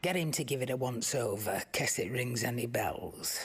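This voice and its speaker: Husky, lively no-nonsense trader woman. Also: rumbling low, normal speed, full character voice. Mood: brisk, knowing. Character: brisk, shrewd, no-nonsense female trader in fantasy realm. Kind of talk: dramatic video game acting.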